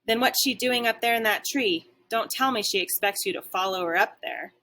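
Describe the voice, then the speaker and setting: A woman's voice: a little high, normal speed, sharp tone, pitched above the performer's own voice, low-quality recording. A woman voicing a big, haughty female dog in a storybook, growly in temper, sceptical and scornful.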